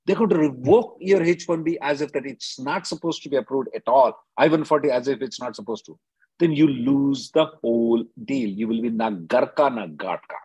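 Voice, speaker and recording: A man with a husky voice, heard close and dry. He advises callers briskly and emphatically, repeating short phrases to drive a point home as he runs the call. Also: mid-range voice, darkish tone, no room sound, rough recording. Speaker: immigration lawyer hosting a call-in.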